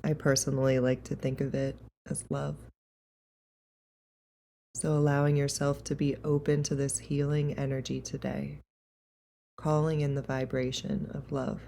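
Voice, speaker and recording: Woman doing yoga nidra guidance, calm n soothing, soft dark tone, really even pitch, bit of background noise. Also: gravelly. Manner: calm, gentle, unhurried. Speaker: guided meditation teacher.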